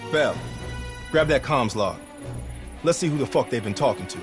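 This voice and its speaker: An actor playing a hard-bitten, streetwise soldier in a military video game, terse and sardonic. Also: male voice, mid-range voice, lively intonation, moderate pace, music in the background, natural voice. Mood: terse, wary.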